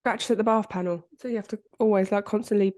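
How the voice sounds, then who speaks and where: Woman host, stuffy and congested, rambling casual delivery, dry close mic. Good for relaxed true-crime chat. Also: medium pitch, fast, dry recording. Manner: chatty, rambling, with frequent fillers. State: congested, bunged-up voice. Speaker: podcast host.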